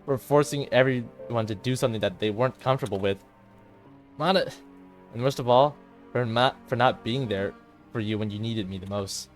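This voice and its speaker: A despairing teenage girl, a club president weighed down by guilt acted by a man. The voice is quiet and hesitant over music, in the style of visual novel drama. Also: mid-range voice, moderate pace, lightly acted. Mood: remorseful. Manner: subdued, hesitant, self-blaming.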